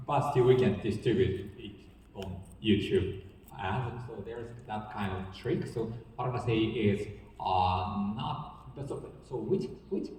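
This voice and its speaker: A man with a Japanese accent speaks slowly and haltingly, filling pauses with "uh" as he searches for words. His voice sits a little low and is picked up from a distance in a large reverberant hall. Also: a little mellow, a little hiss or hum. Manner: halting, many fillers, apologetic. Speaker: panelist. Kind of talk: panel discussion. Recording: echoey hall, distant mic.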